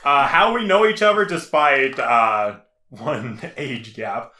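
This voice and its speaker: A man's voice: medium pitch, slow pace, sharp tone. A man in his early twenties, a podcast co-host who is easygoing and laughs often in casual chat. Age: 20s. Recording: echoey room, faint noise.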